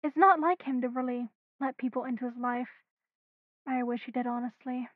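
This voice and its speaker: An actress playing a gentle middle-aged queen and mother in an audio drama, affectionate and courteous. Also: high voice, fairly even intonation, normal speed, dry recording, narrow, tinny audio. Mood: gentle, kindly.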